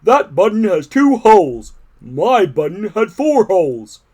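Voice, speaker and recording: A man voicing a fussy, easily upset male toad in a storybook read-aloud, talking loud and complaining. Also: high-pitched, big pitch swings, a little slow, slightly rough, voice pushed up, full character voice.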